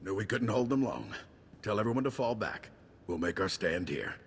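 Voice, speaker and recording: An actor playing a gruff, battle-weary general with a clipped, wry military delivery in a video game cutscene. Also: male voice, lowish voice, very animated, normal speed. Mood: grim, wry. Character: gruff, weary, wry male army general under siege.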